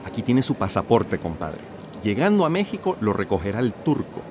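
An actor playing a smooth-talking, persuasive male recruiter posing as a friend in a radio drama, coaxing and assured. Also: fairly low pitch, big pitch swings, moderate pace, noisy background. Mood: brisk, persuasive.

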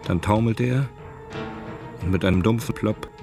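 Crime drama narrator: low voice, sober, terse, dramatic, music behind. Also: male voice, moderate pace, creaky. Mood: tense, grim. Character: sober narrator of a hard-boiled crime story. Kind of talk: scripted radio play narration.

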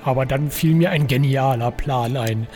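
Audio comedy casting: male actor as scheming, lovelorn pen-pusher. Fussy, theatrical, pleading, medium pitch. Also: normal speed, a little mellow, soft background music, close to an everyday voice. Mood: lovesick confessing. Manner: officious, pleading, melodramatic. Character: fussy lovesick scheming male office bureaucrat. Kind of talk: comic audio drama acting.

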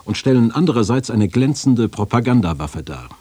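A man reading documents and quotations formally for a radio feature, in a measured, official-sounding way. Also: mid-range voice, very animated, mellow, husky, no room sound.

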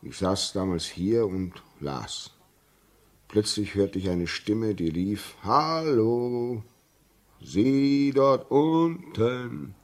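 An actor playing a brooding, dutiful railway signalman in a 1950s German radio drama, speaking gravely and haltingly. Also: lowish voice. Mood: haunted, grave. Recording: muffled-edged 1950s radio drama recording.

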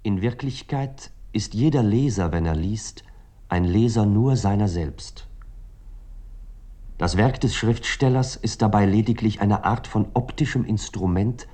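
An actor playing a reflective male writer, speaking a formal, measured literary monologue for a radio drama. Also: lowish voice, mellow. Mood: contemplative. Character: reflective male writer musing on his work. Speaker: actor reading the novelist-narrator's words.

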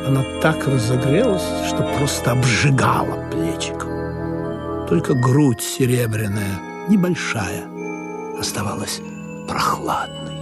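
Comic radio drama: histrionic romantic hero-narrator, slow, savouring delivery with big melodic swings, ironic and confiding. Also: male voice, mid-range voice, lively intonation. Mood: confiding, wistful. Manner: theatrical, confiding, lingers on words. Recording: studio radio play with music.

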